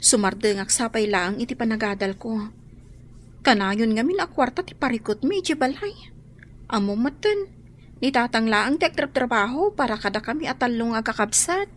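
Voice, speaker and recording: A woman's voice: fairly high pitch, lively intonation, moderate pace, lightly acted. A woman acting an earnest, plaintive young student daughter, worried and self-sacrificing, in a radio drama. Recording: faint background noise.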